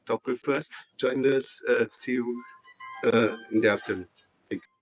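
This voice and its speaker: A man's voice: medium pitch, steady tone, soft background music. A jet-lagged man from Chile, chairing a committee and speaking at a conference with many hesitations and fillers. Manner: halting, many fillers, non-native phrasing. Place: conference hall.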